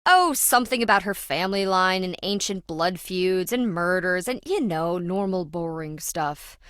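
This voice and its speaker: A woman's voice: on the low side, lively intonation, normal speed, a bit bright, clear, resonant tone, dry recording. A brash treasure-hunting businesswoman for a video game. She is flippant and self-important, griping in slangy, sarcastic lines. The recording is dry and close, with no room around her. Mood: sardonic, bossy. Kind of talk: video game character dialogue.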